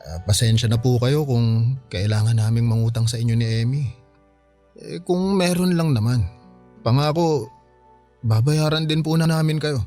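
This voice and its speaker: A man's voice: medium pitch, moderate pace, pauses often, mellow, soft background music, natural voice. Hesitant young Filipino husband, acted by a man. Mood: respectful, apologetic. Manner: hesitant, apologetic. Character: earnest, hesitant young husband who lost his job. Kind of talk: Filipino radio drama acting.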